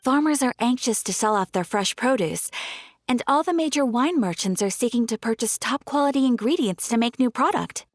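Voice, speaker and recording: A polite guild receptionist speaks in an even, formal way in a game cutscene. Her voice sits a little high. The sound is close and dry, with no room around it. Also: moderate pace, dry recording, faint music behind. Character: polite, helpful adventurers' guild receptionist woman.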